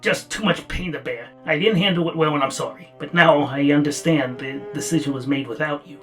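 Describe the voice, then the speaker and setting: A man voicing a weary, gruff old warrior creature, halting and grumbling, in a fantasy audio story. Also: on the high side, fairly bright tone, faint music behind, natural voice. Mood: remorseful.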